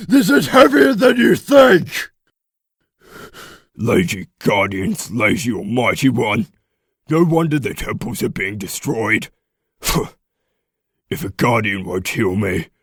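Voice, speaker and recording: A man's voice: mid-range voice, slightly rough, no echo, clean studio sound, full character voice. A man playing a troubled, determined pack leader in a fantasy audio drama, speaking formally and earnestly with a commanding manner. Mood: desperate, then bitter.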